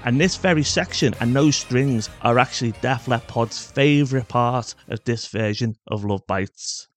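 A man's voice: medium pitch, moderate pace, faint music behind. A man hosting a podcast, delivering scripted narration. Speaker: podcast host.

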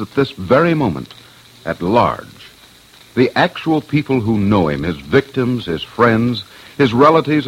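A man's voice: on the low side, slightly rough, low-quality recording. A man hosting and narrating an old radio crime documentary in a solemn, dramatic, scripted way. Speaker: host and investigator. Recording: old radio broadcast with music. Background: music.

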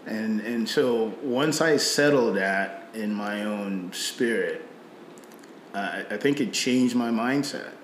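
A man's voice: lowish voice, slow pace, roomy sound, slight background noise, poor audio. A middle-aged man from Nigeria with a slight British-tinged Nigerian accent, speaking reflectively about faith, often repeating words and using fillers. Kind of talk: faith conversation.

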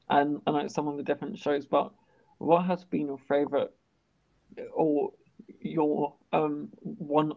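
A man's voice: a little high, creaky, mellow. A young man hosting a podcast interview, talking casually and openly while he stammers and restarts words. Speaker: podcast host. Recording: dull lo-fi sound.

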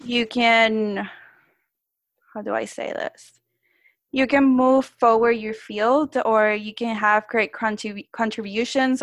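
A woman's voice: on the high side, a bit bright, no room sound. A woman from Puerto Rico with a Spanish accent, asking interview questions earnestly and sometimes searching for words. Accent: Puerto Rican Spanish.

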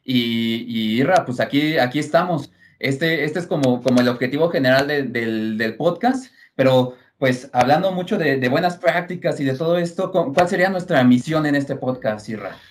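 A man's voice: medium pitch, normal speed, hardly any pauses, slight background noise. A man with a Mexican Spanish accent chatting informally and somewhat ramblingly as a podcast co-host. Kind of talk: casual chat.

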